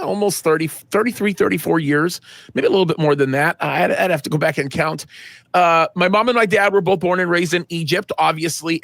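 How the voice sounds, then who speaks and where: Middle-aged man, pastor guest, slightly high voice, dry close sound, earnest, emphatic restarts. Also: a bit bright, dry recording. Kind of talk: conversational interview answers.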